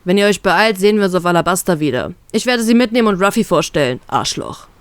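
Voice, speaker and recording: Woman voicing a cocky young male pirate, brisk, mocking, storybook reading. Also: medium pitch, lively intonation, no echo, close to an everyday voice. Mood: taunting.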